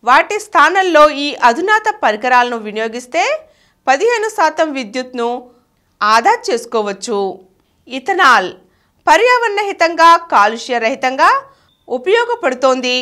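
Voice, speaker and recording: A woman's voice: sits high, big pitch swings, measured pace, a bit bright. A woman reads a scripted informational article aloud in a formal way, phrase by phrase. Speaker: narrator reading an article aloud.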